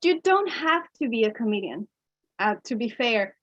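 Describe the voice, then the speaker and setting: A woman with a Mexican accent and a high voice leads a storytelling webinar as a coach. She talks expressively, chuckling between points and shifting her pace. The audio is slightly dull and lo-fi, like a video call. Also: very animated, moderate pace, a bit bright. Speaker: keynote speaker and coach.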